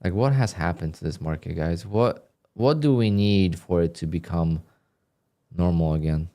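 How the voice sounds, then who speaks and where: Low-voiced man streaming his trading. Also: moderate pace. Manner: flat, dry, sounds annoyed even when content. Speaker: day trader livestreamer. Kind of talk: live stream commentary, reading donations.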